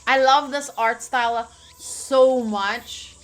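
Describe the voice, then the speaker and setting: A young woman reading visual novel narration aloud as a streamer, expressive and informal, with casual asides. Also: on the high side, takes it slowly, music in the background.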